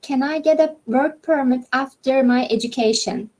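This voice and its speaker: A woman's voice: sits high, moderate pace, mellow, poor audio. A woman moderating a webinar over a video call, politely and carefully reading out audience questions.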